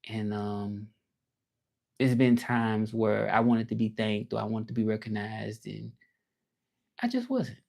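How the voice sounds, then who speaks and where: A man with a Southern accent from Memphis talks on a livestream in a loose, reflective, motivational ramble. His voice sits low and carries a gravelly edge with a warm, mellow tone. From Memphis, Tennessee. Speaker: livestream host. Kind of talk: casual livestream talk.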